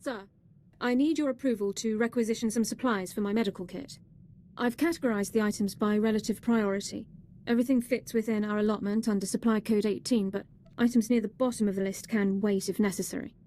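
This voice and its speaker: An actress playing a dutiful, formal young military medic in a video game, speaking precisely and deferentially. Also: female voice, mid-range voice, fairly even intonation, a little fast, dark tone, close to an everyday voice. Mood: businesslike. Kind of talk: video game dialogue acting.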